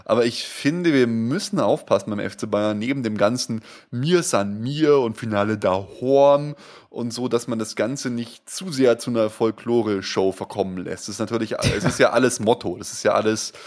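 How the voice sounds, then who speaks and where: A man's voice: medium pitch, normal speed, darkish tone. A young man from Munich with a light Bavarian accent, co-hosting a casual football podcast, talking with enthusiasm and many restarts. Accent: light Bavarian German. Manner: enthusiastic, chatty, frequent restarts and fillers. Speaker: podcast co-host.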